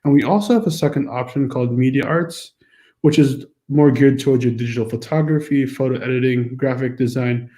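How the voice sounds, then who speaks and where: A man's voice: mid-range voice, mellow, echoey room. A man on a school's staff, with an American accent, walking through a course registration form in a casual, explanatory way with many fillers. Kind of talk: screen-recorded walkthrough.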